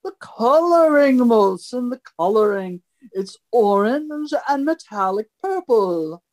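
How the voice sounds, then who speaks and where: A woman's voice: a little high, expressive, slow, darkish tone. A woman playing an imperious, theatrical great detective with a pompous, declamatory delivery in an old-time radio mystery parody. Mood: commanding, probing.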